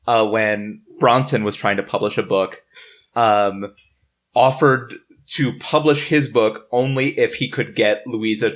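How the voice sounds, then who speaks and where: A man hosting a casual podcast discussion, speaking informally and haltingly as he works through his notes. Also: lowish voice. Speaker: podcast host. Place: in-person recording around a table.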